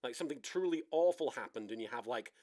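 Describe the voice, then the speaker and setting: A man's voice: mid-range voice, animated, quick pace, a little mellow, no echo, studio quality, pitched below the performer's own voice, lightly acted. A man playing a mild, patient recording engineer, hesitant and polite, in a casual comedy sketch.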